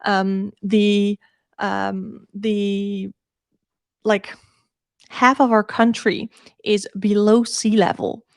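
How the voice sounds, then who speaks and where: A woman's voice: medium pitch, level tone, extremely slow, gravelly, pauses often, no room sound, clean studio sound. A woman from the Netherlands with a Dutch accent, chatting casually as a live-streaming tarot reader. She thinks aloud informally and often repeats herself.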